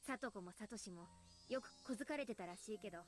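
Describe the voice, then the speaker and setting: A woman's voice: mid-range voice, very fast, natural voice. A woman voicing a frank, exasperated teenage girl in a visual novel, explaining things bluntly and wearily. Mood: bitter, grim. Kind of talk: Japanese visual novel voice acting. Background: game music.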